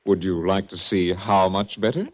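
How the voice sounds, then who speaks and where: An actor playing a smug, mock-courteous male villain running a sanitarium, with smooth menace, in a 1940s radio drama. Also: low voice, steady tone, normal speed, natural voice. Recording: muffled 1940s radio recording.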